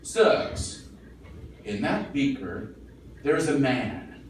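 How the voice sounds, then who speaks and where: A man's voice: mid-range voice, animated, extremely slow. An actor playing an exasperated, boastful father in an old-time radio comedy, lecturing in a blustery, easily irritated manner. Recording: echoey room, distant mic, noisy.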